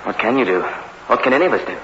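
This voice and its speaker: A man's voice: medium pitch, lively intonation, rapid-fire, a bit bright, natural voice. A reverberant, muffled old radio drama recording. A man performs a scholarly young convict, haunted and afraid, in a tense voice that stammers and pleads nervously. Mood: pleading. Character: frightened, haunted young male prisoner, meek and scholarly. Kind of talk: 1960s radio suspense drama acting.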